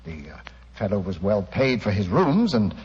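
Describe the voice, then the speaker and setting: An actor playing an ashamed, well-spoken gentleman making a hesitant, emotional confession in a 1940s radio drama. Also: lowish voice, very animated, moderate pace. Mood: ashamed, confessing. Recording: muffled old radio recording with long reverb.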